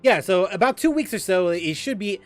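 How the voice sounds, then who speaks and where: High-pitched man streaming games, lively. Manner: gamer slang, halting repetitions. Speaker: game streamer. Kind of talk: casual livestream chat and reactions. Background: game music.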